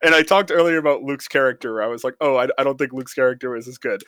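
A man chatting casually in a group fan review, rambling and retelling things in animated asides. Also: mid-range voice, fast, clean tone, no room sound. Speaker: podcast panelist reviewing a radio drama.

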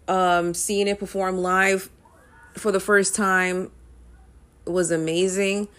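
A woman's voice: medium pitch, flat delivery, slow, a bit bright, clean tone. A young woman talking casually to camera as a music vlogger, rambling with frequent ums and uhs.

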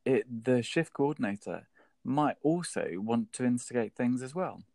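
A man's voice: mid-range voice, lively intonation, unhurried, vocal fry, darkish tone, no echo, clean studio sound. A man co-hosting a workplace podcast, chatting casually with an enthusiastic, jokey manner. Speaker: podcast co-host.

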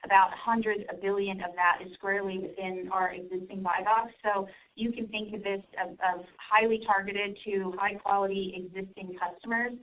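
A woman executive presenting company financial results on an earnings call in a formal, measured corporate manner. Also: mid-range voice, fairly even intonation, phone-quality sound. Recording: distant, band-limited conference call line.

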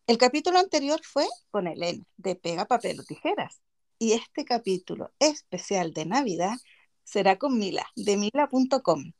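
A woman's voice: mid-range voice, big pitch swings, moderate pace, a little mellow. A woman from Chile with a Canary Islands Spanish accent, hosting a casual podcast chat, chatty and giggly. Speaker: podcast host.

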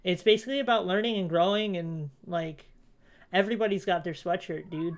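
A man's voice: high-pitched, a little fast, a little hiss or hum. Man chatting casually, slangy and informal. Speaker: podcast co-host. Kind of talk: casual chat.

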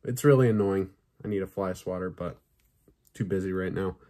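Male podcast host, lowish pitch, slightly raspy and sore, casual and chatty, swears freely. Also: normal speed, warm tone. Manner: loose and chatty, swears, many fillers. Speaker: podcast host reviewing films and shows. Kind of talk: casual review chat.

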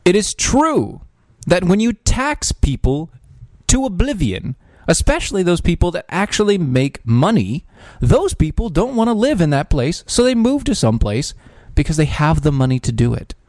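A man's voice: high-pitched, big pitch swings, normal speed, a little mellow, dry recording, studio quality. A man hosting a podcast, giving casual political commentary in a sarcastic, ranting way with frequent restarts and fillers. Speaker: podcast host.

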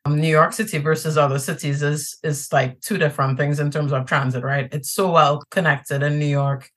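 A woman's voice: low-pitched, steady tone, moderate pace. A Trinidadian woman in a casual podcast interview, chatty and easygoing, with many fillers and restarts. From Trinidad and Tobago. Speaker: podcast guest.

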